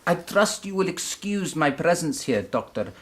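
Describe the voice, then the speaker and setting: A young Russian gentleman devoted to his father in a radio play. He speaks in a fairly high voice, with earnest, elaborately polite phrasing. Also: sing-song, normal speed. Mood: solicitous, earnest. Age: young adult. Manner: formal, earnest, over-polite. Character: solicitous, formal young Russian nobleman's son. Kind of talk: radio drama acting.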